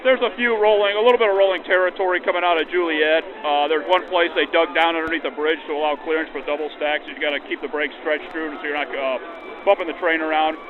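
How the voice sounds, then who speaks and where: A man's voice: on the high side, steady tone, fast, narrow, tinny audio. A man, a train engineer, giving informal technical answers outdoors through a vintage radio filter. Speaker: train engineer and operations manager. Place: outdoors near a locomotive. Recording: thin radio-like sound, busy crowd outside.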